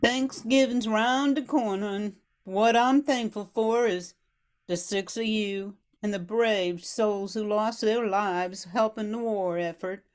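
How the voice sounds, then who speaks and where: A woman's voice: mid-range voice, steady tone, slow, close to an everyday voice. A woman playing a reflective, grieving bayou woman in an amateur radio drama, speaking solemnly. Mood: solemn, mournful.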